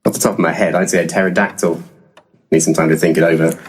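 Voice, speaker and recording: An actor playing a shifty, blunt, deadpan paranormal investigator in a comic audio play. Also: male voice, low voice, fast talker, a little hiss or hum, close to an everyday voice. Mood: dry, deadpan. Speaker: actor in an audio comedy drama. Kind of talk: audio play dialogue, dry comedy.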